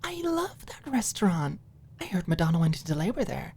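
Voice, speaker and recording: Woman voicing a dorky, high-pitched young woman. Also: sing-song, brisk, a little mellow, husky, exaggerated voice. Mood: chatty, mumbled reciting. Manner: mumbles and recites movie lines under her breath. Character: Maud, dorky young woman, playful, fond, proudly passionate. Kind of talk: audiobook character acting.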